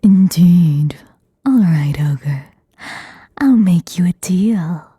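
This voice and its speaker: A woman voicing a pompous, scheming male lord who makes loud, commanding proclamations in a storybook reading. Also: lowish voice, expressive, drawn-out words, breathy edge, no room sound, studio quality, pitched below the performer's own voice, full character voice.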